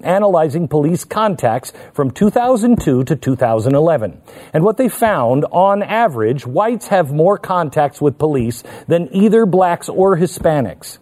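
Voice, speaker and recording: A man's voice: fairly high pitch, warm tone. A man delivering a political commentary monologue as a host, emphatic and fond of rhetorical questions.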